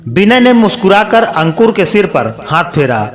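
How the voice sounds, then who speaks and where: A man narrating a sentimental moral story, reading aloud in a measured storytelling manner. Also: high voice, sing-song.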